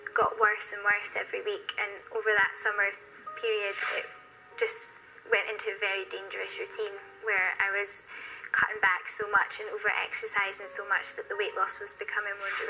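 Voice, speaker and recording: A British woman in her twenties speaks in a bright, forward voice with little rise or fall in pitch. She gives a candid, reflective personal account in the manner of a documentary interview, with music playing behind her. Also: creaky, low-quality recording. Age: 20s. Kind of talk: personal testimony.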